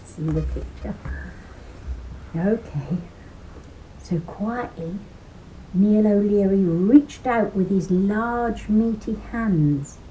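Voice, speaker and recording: A woman in her sixties or older reading a children's story aloud expressively, with deliberate phrasing and dramatic emphasis, at home on a computer microphone. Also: mid-range voice, dark tone, slight background noise, rough recording. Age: 60s or older. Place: living room at home beside window. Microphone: webcam or laptop microphone.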